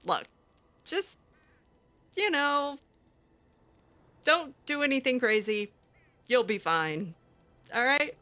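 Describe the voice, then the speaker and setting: A woman's voice: high voice, expressive, slow pace, pauses often, bright, forceful tone, faint music behind. A young woman roleplaying her game character aloud, talking hesitantly with many fillers and restarts. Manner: hesitant, filler-heavy, giggly.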